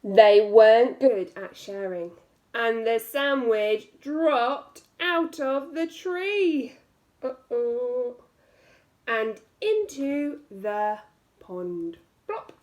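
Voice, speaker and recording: Very high-pitched, animated woman reading a storybook. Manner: expressive, drawn-out emphasis on key words. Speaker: primary school teacher reading aloud. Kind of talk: animated children's picture-book reading with asides to listeners.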